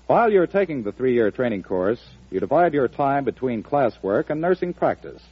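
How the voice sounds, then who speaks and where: A man's voice: medium pitch, big pitch swings, moderate pace, breathy edge. A man reading a scripted announcement in the style of a mid-century radio announcer. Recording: dull, dry vintage radio recording.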